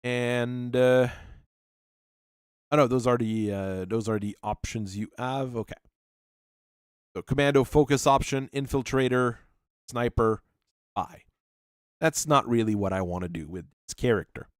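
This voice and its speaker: A man at a middle pitch, thinking out loud as he builds a tabletop game character. He reads rules aloud in a halting, musing way. His voice is close and dry, with no room sound around it. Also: clear, resonant tone, no room sound. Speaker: channel host. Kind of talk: talking through tabletop character creation.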